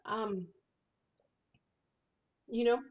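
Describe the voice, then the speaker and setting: Soft-spoken woman, hesitant whiskey chat. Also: on the high side, steady tone, very slow. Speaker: co-host of a whiskey tasting livestream. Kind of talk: casual tasting chat. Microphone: overhead microphone above the table. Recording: dull lo-fi overhead mic.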